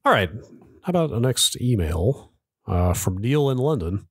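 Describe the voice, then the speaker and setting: A man's voice: mid-range voice, big pitch swings, normal speed, husky, no echo, studio quality. A man co-hosting a casual tech podcast, wry and rambling, who also reads listener emails aloud. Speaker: podcast co-host.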